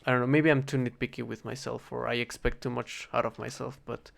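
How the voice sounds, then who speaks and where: A young man with a Spanish accent, a native Spanish speaker, rambling in a casual, halting monologue while he plays a game and keeps correcting himself. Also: medium pitch, level tone, vocal fry, fairly bright tone. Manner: halting, self-correcting, thinking aloud. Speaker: vlogger.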